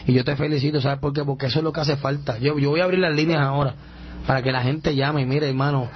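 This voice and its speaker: Fast-talking fervent radio preacher man. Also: a little high, steady tone, a little hiss or hum. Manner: fervent, repetitive, rising to shouts. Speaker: radio show host. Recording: dull lo-fi radio sound.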